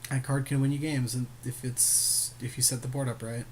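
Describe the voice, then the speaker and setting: A man with an average-pitched voice chats casually as a podcast co-host. His talk is loose and colloquial, full of asides, as he weighs up moves in a card game. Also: level tone, moderate pace, darkish tone, slight background noise. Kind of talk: casual chat while drafting a card game.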